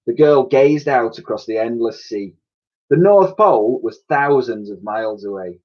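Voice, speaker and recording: A man of middling pitch reads a children's story aloud, very animated and expressive. His voice rises and falls widely, and the home recording sounds a little dull and lo-fi. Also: moderate pace. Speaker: story reader.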